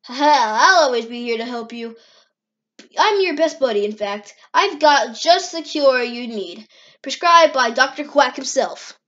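A loyal young hedgehog hero played by a woman, high-pitched, casual and reassuring. It is a cartoon comic dub with dull, lo-fi sound. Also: animated, a little slow, fairly bright tone.

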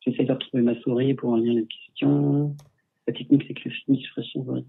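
A man speaking over a thin, phone-like line, his voice a little husky. He is a photographer answering viewer questions in a casual livestream chat. He talks through a headset and stumbles often, circling back with fillers and restarts. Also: medium pitch, flat delivery, brisk. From France. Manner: hesitant, many fillers and restarts. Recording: husky, tinny call audio.

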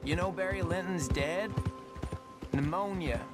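A high-voiced, naive young Westerner. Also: male voice, unhurried. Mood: curious, teasing. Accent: American. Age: young adult. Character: naive, earnest, insecure young man of the Old West. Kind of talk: video game dramatic acting. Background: music, horse hooves.

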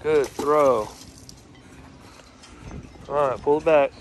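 A man's voice: fairly high pitch, very slow. A father filming on his phone at an outdoor lake dock, patiently coaching and encouraging as he gives instructions. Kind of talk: casual instructions while filming. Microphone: phone held while filming. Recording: phone audio with clear wind noise outdoors.

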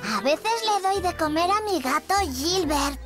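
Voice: very high voice, lively intonation, a little slow, over music. An actor playing a curious, eager four-year-old boy in a children's cartoon dubbed in Castilian Spanish.

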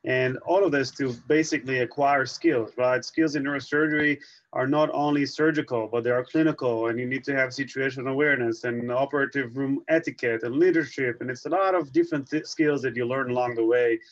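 Man in his thirties from Israel, webinar presenter, halting delivery, muffled call audio. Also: mid-range voice, steady tone. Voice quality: halting, many restarts and fillers. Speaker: neurosurgery fellow presenting. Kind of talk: webinar talk with slides. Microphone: video call. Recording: slightly dull video call audio.

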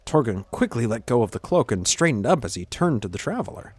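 A man narrating scripted fantasy fiction in a measured, dramatic storytelling manner with deliberate pauses. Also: mid-range voice, big pitch swings, breathy edge, no room sound.

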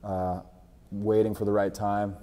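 Male interviewer, low and flat, casual and halting, reverberant gym with faint music. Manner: friendly, with frequent "uh" and repeated starts. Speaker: interview host, jiu-jitsu instructor. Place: gym with large windows, indoors.

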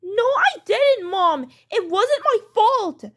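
A woman voicing a whiny, defiant young daughter in petulant, drawn-out protests, in a homemade pretend-play skit. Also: very high-pitched, expressive, a bit bright, voice pushed up, heavily acted. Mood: angry tantrum.

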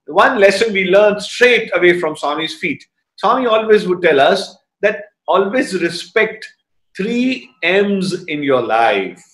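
A man in his fifties from North India with an Indian English accent, telling a devotional story in an animated way and acting out the dialogue, on a webcam video call from a room at home. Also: high-pitched. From Indore, North India. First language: Hindi. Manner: animated storyteller, quick bursts, acts out dialogue. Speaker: alumnus speaker at a devotional gathering. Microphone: laptop webcam on a video call. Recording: roomy echoey home room.

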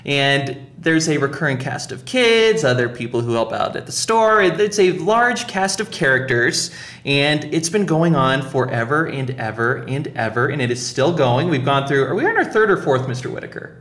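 A man's voice: on the high side, sing-song, moderate pace, fairly bright tone. A man hosting a casual podcast conversation in a joking, self-aware way. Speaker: podcast host. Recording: roomy, echoing sound.

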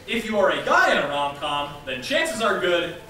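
A young man playing an excitable scientist who lectures on rom-com rules in a mock-serious, scripted stage style. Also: high voice, moderate pace, noisy background. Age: young adult. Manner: theatrical, mock-serious delivery. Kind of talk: scripted comic stage narration. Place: stage in a large theater. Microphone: far camera. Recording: distant, very echoey theater stage.

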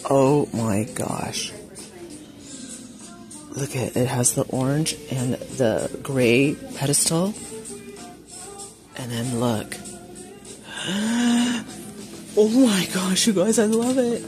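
A woman vlogging as she shops in a big home goods store, chatting casually to viewers with frequent gasps and excited exclamations. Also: deep voice, drawn-out words, pauses often, over music. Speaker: shopping vlogger. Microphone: phone camera held while shopping.

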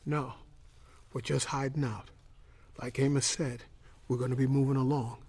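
Lucian, a husky, weary drifter. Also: male voice, medium pitch, level tone, normal speed, a little mellow. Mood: weary, guarded. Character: weary, wounded tall man, a wry drifter named Lucian. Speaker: actor. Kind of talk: audio drama acting.

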